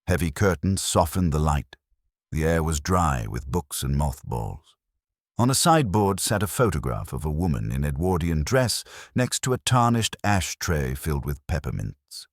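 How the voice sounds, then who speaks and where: A man narrating a mystery story in a dry, measured, wry manner. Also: rumbling low, big pitch swings, no room sound.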